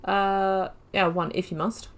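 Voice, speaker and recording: A woman giving casual playthrough commentary as a gaming video host. Her voice is mid-pitched, and she talks informally, with wry asides as she reads and reacts. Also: little pitch movement, takes it slowly, a little hiss or hum. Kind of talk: casual playthrough commentary, reading game text aloud.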